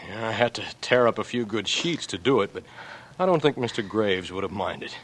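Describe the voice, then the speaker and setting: A man's voice: on the low side, lively intonation, brisk, slight background noise, natural voice, poor audio. A young American man acting a devoted, gallant boy next door in a 1940s radio drama, tender and reassuring. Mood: calm, reassuring.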